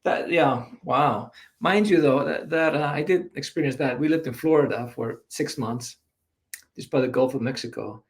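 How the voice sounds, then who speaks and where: A man's voice: medium pitch, moderate pace. A man from Iceland, a native Icelandic speaker, talking casually in English as an interview guest. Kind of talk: casual chat.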